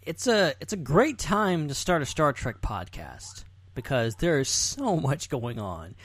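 A man's voice: fairly high pitch, sing-song, measured pace, fairly bright tone, slightly rough, dry recording. A man hosting a podcast in casual, rambling, enthusiastic conversation. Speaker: podcast host.

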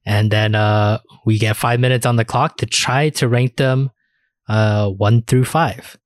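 A man's voice: lowish voice, steady tone, unhurried, no room sound. A man hosting a podcast in a casual, chatty style. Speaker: podcast host. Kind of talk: casual chat.

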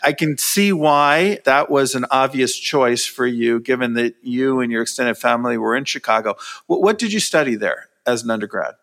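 A man interviewing a guest, asking friendly, measured questions with some fillers. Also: medium pitch, creaky, fairly bright tone. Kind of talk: conversational interview.

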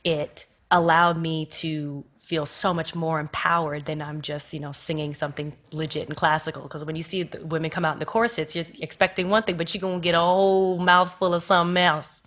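A woman's voice: low-pitched, little pitch movement, a little fast, hardly any pauses, a bit bright. A woman with an American accent, speaking directly and passionately as a panel guest. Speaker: panel guest, stage performer. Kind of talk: casual panel conversation.

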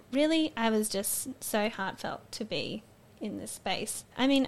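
A woman in her early twenties sharing personal stories casually as a podcast panelist. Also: mid-range voice. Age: 20s.

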